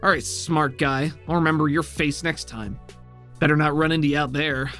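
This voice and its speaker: A man's voice: a little high, steady tone, normal speed, bright, forceful tone, faint music behind. A man voicing a tough, grimy woman buggy driver, curt and threatening, in a tabletop game. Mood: curt, menacing.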